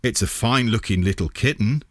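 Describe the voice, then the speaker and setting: Elderly male neighbour, kindly, a bit hesitant, played by a narrator, low voice, storybook reading. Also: a little fast, no room sound, clean studio sound, natural voice.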